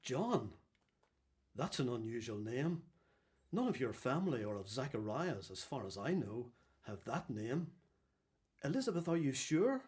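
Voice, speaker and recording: Fussy, swooping-voiced synagogue official. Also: male voice, medium pitch, sing-song, unhurried, darkish tone. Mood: doubtful. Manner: hesitant, questioning, easily flustered. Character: fussy, hesitant synagogue official at a naming rite. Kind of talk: radio play acting.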